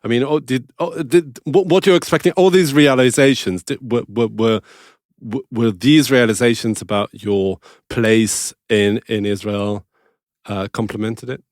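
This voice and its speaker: A man's voice: mid-range voice, steady tone, measured pace, no echo, clean studio sound. A man interviewing a guest, asking long questions he rephrases as he goes. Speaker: podcast interviewer.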